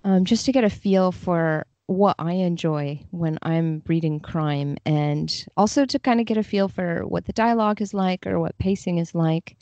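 Woman, lowish voice, reflective interview answers, dry slightly dull recording. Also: normal speed, few pauses, mellow, no room sound. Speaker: podcast guest, literary translator.

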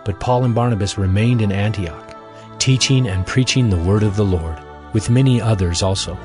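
A man narrating scripture as a scripted audio drama, in a measured, formal manner. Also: fairly low pitch. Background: music.

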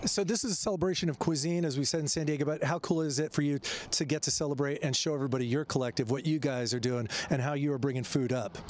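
A man's voice: a little high, a little hiss or hum. A man reporting live for a local TV morning show, interviewing chefs on an outdoor patio set with a handheld microphone, upbeat and chatty. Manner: upbeat and chatty, quick follow-up questions. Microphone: handheld station microphone.

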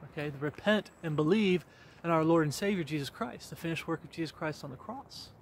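A middle-aged man with a slightly higher voice preaches as he walks along a wooded country road. He exhorts his listeners in a repetitive, earnest way, full of fillers and restarts. The sound comes from a camera he holds at arm's length outdoors. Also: a little fast, slight background noise. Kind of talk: walk-and-talk devotional preaching. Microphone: handheld camera at arm's length.

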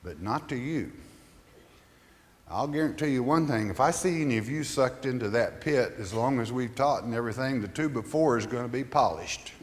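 A man's voice: medium pitch, animated, moderate pace, in a large hall. A male pastor teaching a Bible study in a conversational way, reading scripture aloud and putting questions to his listeners.

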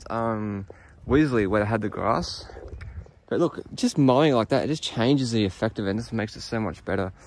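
A young man from Australia, walking and talking casually through large gardens, easily impressed and thinking out loud. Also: medium pitch, slight background noise. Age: young adult. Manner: chatty, easily impressed, thinking aloud with restarts. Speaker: vlogger. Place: outdoors in large formal gardens. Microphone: phone camera at arm's length.

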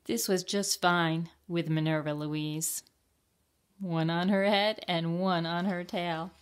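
Older woman, lowish pitch, slow, deliberate, children's storytime read-aloud, questioning. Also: fairly even intonation, clear, resonant tone. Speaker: library storytime reader.

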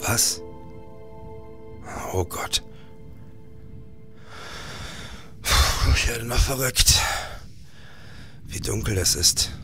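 A man's voice: medium pitch, slow, pushed and bright, soft background music. An actor playing a grieving, sleepless police detective in a radio drama, formal and literary in his telling. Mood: despairing. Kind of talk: radio drama acting and narration.

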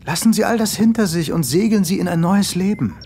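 A man playing a manipulative tempter in a comic audio drama, coaxing and persuasive as he makes his pitch. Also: lively intonation, fairly quick, mellow, faint music behind. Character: smooth-talking, manipulative male tempter and buyer.

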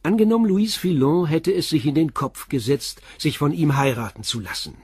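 Probing, composed police commissioner. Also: male voice, a little high, darkish tone, breathy edge, no room sound, clean studio sound. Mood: persistent, pressing. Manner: probing, measured questioning. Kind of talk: German crime radio play dialogue.

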